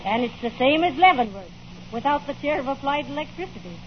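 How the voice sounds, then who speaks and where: A woman's voice: sits high, lively intonation, fast. An actress playing a wry, sensible wife who teases her husband in an old radio comedy. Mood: wry, teasing. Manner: dry, teasing, chiding. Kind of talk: 1930s radio comedy acting. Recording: muffled old radio recording, faint hiss.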